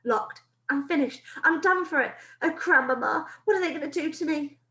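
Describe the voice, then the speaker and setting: A woman's voice: high voice, moderate pace, sharp tone, roomy sound, pitched above the performer's own voice. A woman reading aloud voices a terrified young boy who pleads in panic. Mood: frightened.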